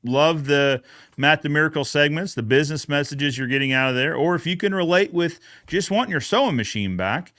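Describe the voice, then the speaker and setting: A man's voice: fairly high pitch, lively intonation, fairly quick, fairly bright tone, no echo. Man casually hosting a podcast chat, dry sound. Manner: conversational, addresses viewers directly. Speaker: YouTube host.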